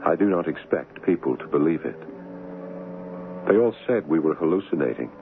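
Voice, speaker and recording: An actor playing a devoted, sceptical husband with an American accent in a 1970s radio drama. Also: male voice, very deep voice, lively intonation, normal speed, slight background noise. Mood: bleak, grieving. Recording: tinny 1970s radio, music behind.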